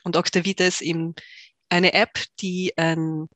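A woman's voice: fairly low pitch, flat delivery, unhurried, a bit bright, no room sound. Woman, dry podcast voice. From Tyrol, Austria. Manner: thoughtful, many fillers and restarts. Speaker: startup founder, guest. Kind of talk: podcast interview.

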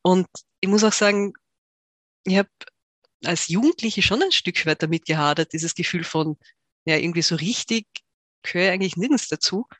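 A woman's voice: lowish voice, lively intonation, moderate pace, long pauses, dry recording. A woman from Tyrol, a startup founder talking as a podcast guest, thoughtful, with many fillers and restarts. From Tyrol, Austria. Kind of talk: podcast interview.

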